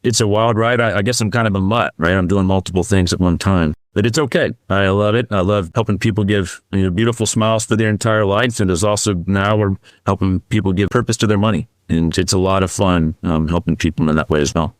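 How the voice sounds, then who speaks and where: A middle-aged man, a podcast guest, telling his story in a relaxed way, with many fillers and restarts. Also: sits low, a little fast, few pauses, no echo, studio quality. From United States. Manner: warm, reflective, with frequent fillers and restarts. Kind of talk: casual interview storytelling.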